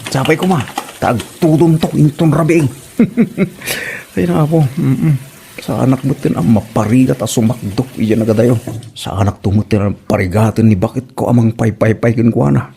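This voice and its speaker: A man's voice: medium pitch, moderate pace, creaky, warm tone, a little hiss or hum, natural voice. A man acting a grumbling, put-upon village husband with an Ilocano Filipino accent and an exasperated, scolding manner in a comedy drama.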